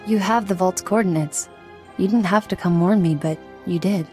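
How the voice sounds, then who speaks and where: A woman's voice: fairly low pitch, moderate pace, warm tone, faint music behind, natural voice. A woman playing a tough, lonely outlaw in a video game, plain-spoken and guarded. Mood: wistful, fond. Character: tough, lonely female outlaw with a soft spot.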